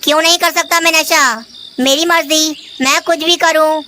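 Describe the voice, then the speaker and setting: A bullying, drunken husband sparrow from a children's cartoon, played by a voice actor. He is rude and domineering, with a bright, forceful edge, over noisy birdsong. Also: high-pitched, little pitch movement, moderate pace. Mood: angry, defiant. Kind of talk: Hindi children's cartoon dialogue.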